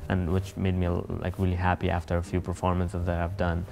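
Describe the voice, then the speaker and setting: Earnest young man, low flat voice. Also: gravelly. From Afghanistan. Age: young adult. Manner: earnest, halting, many restarts. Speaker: interviewee. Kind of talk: sit-down interview. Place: empty concert hall stage.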